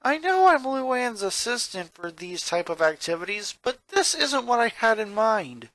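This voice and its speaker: A reluctant eleven-year-old boy voiced by a man reading a story. He is high in pitch and lively in inflection, with a sarcastic, grumbling manner. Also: unhurried, dry recording, full character voice.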